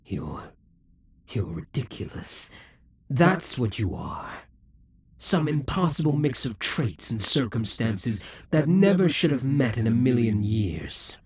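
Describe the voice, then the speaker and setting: A haughty demon king of the audio drama, ages old and bent on revenge, as a man acts him out. His voice is deeply husky and breathy, drawn out slowly, with a lively rise and fall that sinks into hissing whispers. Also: exaggerated voice. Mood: incredulous. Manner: slow, theatrical, hissing whispers at times. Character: proud vengeful male demon king, centuries old. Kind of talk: audio roleplay acting.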